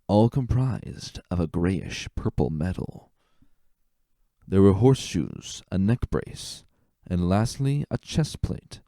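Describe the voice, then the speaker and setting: A man's voice: low voice, gravelly, dark tone, dry recording, studio quality. A man giving a dramatic storybook narration as a scripted reader. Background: faint music.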